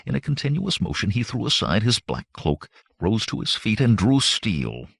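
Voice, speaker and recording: Deep, expressive male narrator. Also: normal speed, gravelly, husky, no echo, studio quality. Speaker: audiobook narrator. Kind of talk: dramatic scripted narration of fantasy adventure fiction.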